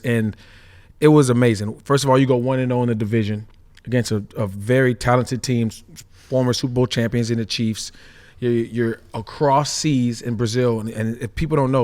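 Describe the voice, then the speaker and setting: A man who is a former pro football player, talking energetically on a sports podcast and tagging his points with quick questions. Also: mid-range voice. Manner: energetic, repeats himself, peppers lines with "right?". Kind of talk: casual sports podcast chat.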